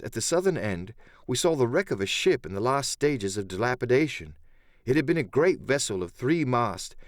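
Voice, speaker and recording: A man's voice: mid-range voice, no room sound, clean studio sound. A man giving a dramatic first-person narration of an adventure story as an audiobook narrator.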